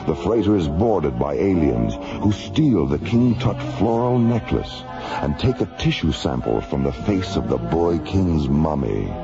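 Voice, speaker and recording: A solemn male narrator tells a space adventure radio serial in a very deep voice with swooping delivery. The dull old radio recording has loud music behind him. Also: heavily acted. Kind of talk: scripted documentary-style radio narration.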